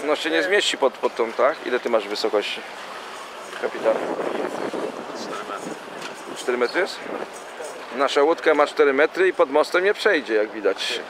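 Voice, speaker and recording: A man's voice: medium pitch, animated, normal speed, long pauses. A man giving a casual, rambling running commentary as he films from a small boat on a city river. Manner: chatty, rambling with fillers and self-corrections. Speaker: amateur tour guide on a boat. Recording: loud wind and boat engine noise.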